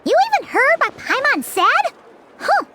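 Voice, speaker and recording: Squeaky, very high fairy sidekick. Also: female voice, very animated, a little slow, pushed and bright, exaggerated voice. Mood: curious. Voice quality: squeaky, childlike. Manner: speaks of herself in third person, bubbly. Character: tiny childlike fairy companion, cheerful and nosy. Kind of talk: English video game voice acting.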